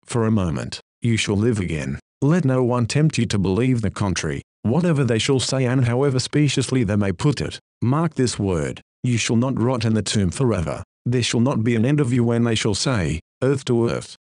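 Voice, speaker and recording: A man reading an old-style sermon aloud, exhorting his listeners with solemn fervor and slowing, low emphasis on key phrases. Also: expressive, darkish tone, dry recording, studio quality. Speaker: preacher.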